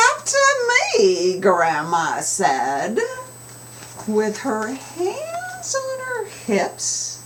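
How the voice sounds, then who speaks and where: A woman author reading a picture book aloud in an expressive, sing-song, deliberate way. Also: medium pitch, slight background noise. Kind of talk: animated picture-book read-aloud with audience participation.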